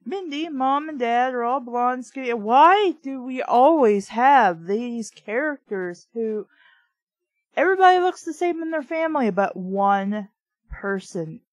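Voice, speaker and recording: A woman with a slightly high voice reads a children's book aloud at an unhurried pace. She is casual and conversational, often rambling into snarky asides. The recording is dry, with very little room sound. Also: animated, dry recording. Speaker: host reading a children's book aloud with commentary.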